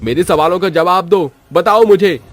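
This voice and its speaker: A man's voice: high-pitched, normal speed, dry recording, soft background music, pitched above the performer's own voice, lightly acted. A man voicing a bold young writer in a moral story, earnest and declamatory. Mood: commanding. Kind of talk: Hindi moral story dramatization.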